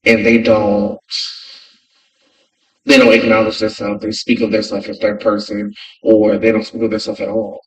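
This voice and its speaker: A man's voice: fairly low pitch, monotone, normal speed, pauses often. A man talking as a podcast guest in casual chat, emphatic and repeating phrases for effect. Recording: distant from the mic.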